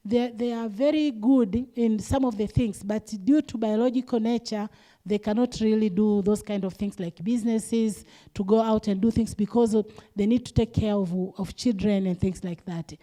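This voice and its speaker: A woman's voice: mid-range voice, moderate pace, warm tone. A woman from Tanzania speaking as a conference panelist, hesitant and often repeating words. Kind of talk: panel discussion remarks.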